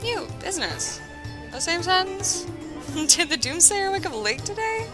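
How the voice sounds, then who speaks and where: An actress playing a loud, brash woman sergeant who teases her friend. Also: extremely high pitch, sing-song, unhurried, over loud music, full character voice. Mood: teasing. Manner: brash, teasing.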